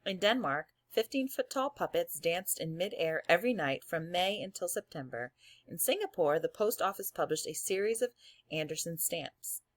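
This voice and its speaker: A woman with a slightly low voice, recorded close and dry. She works as an elementary teacher, reading aloud to her pupils and guiding them step by step. She addresses the class directly and encourages them as she goes. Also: lively intonation, normal speed, dry recording.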